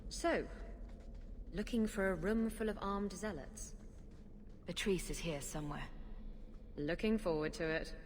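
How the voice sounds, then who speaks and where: A woman's voice: fairly low pitch, unhurried, long pauses, slight background noise, close to an everyday voice. A woman playing a bold, wry, sardonic adventurer heroine in a video game, confident and challenging. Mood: skeptical, challenging. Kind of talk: scripted video game acting. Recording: long reverb with game music.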